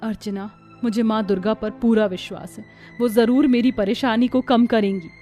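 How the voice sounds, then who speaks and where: A woman voicing a pious, long-suffering young mother in a cartoon, gentle, earnest and pleading. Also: on the high side, fairly even intonation, normal speed, soft background music, lightly acted. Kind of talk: Hindi cartoon voice acting.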